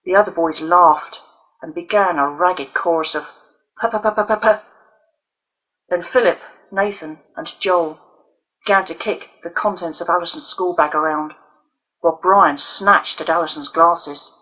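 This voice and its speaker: A woman narrates an audio novel for older children. Her voice is sharp and forceful with a husky grain, and she tells the story deliberately and dramatically. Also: lowish voice, steady tone, poor audio. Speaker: author reading own novel.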